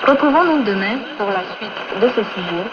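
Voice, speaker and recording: Noisy muffled shortwave, female radio preacher, scripted exhortation, solemn, deliberate phrasing. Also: medium pitch, animated.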